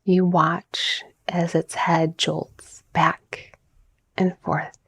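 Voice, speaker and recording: Soft, slow, hushed woman narrating relaxation. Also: lowish voice, level tone, dry recording. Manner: soft, breathy, soothing, gently measured delivery. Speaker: guided meditation narrator, mindset coach. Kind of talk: calming guided visualization, scripted relaxation narration.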